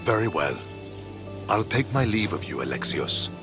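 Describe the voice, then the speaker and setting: An actor playing a noble, diplomatic Spartan commander in a video game, speaking formally and earnestly. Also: male voice, lowish voice, big pitch swings, moderate pace, loud music behind the voice. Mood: courteous. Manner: formal, courteous. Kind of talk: video game cutscene acting.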